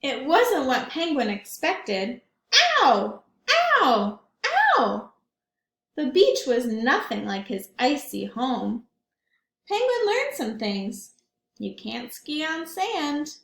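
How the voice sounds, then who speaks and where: A woman's voice: a little high, echoey room. Woman reading a picture book to kids. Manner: warm, questioning, child-directed.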